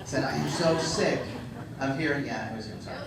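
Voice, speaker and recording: A man's voice: medium pitch, fairly quick, creaky. A middle-aged man giving a humorous conference talk, self-deprecating and full of ums. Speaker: conference speaker. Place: large hall with audience. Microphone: handheld microphone. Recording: echoey hall, distant mic, background noise.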